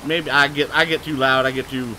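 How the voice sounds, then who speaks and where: A man streaming live gameplay, talking loud and excitably, with a booming voice, cursing at the game and answering chat. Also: a little fast, a little hiss or hum, poor audio. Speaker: gaming streamer.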